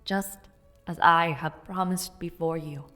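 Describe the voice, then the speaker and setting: A woman's voice: fairly low pitch, fairly even intonation, unhurried, fairly bright tone, dry recording, faint music behind. A young woman voicing a spirited, playful noblewoman knight in an audio roleplay, chatty and teasing. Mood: solemn. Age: young adult.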